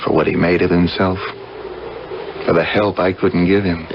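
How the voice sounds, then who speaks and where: An actor playing a remorseful, resigned man mourning his brother in grave, measured radio-drama speech. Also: low voice, fairly even intonation, vocal fry. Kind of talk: 1970s radio drama acting. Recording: dull radio recording, background noise.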